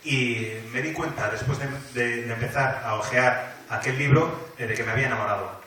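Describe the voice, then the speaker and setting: A man from Spain with a bright, middle-pitched voice interprets colloquially and expressively at a live event. He sounds distant in a very echoey hall, with some faint noise. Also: brisk. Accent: Spanish, from Spain. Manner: colloquial, expressive retelling with hesitations. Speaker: consecutive interpreter. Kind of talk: spoken interpretation of a guest's anecdotes in first person.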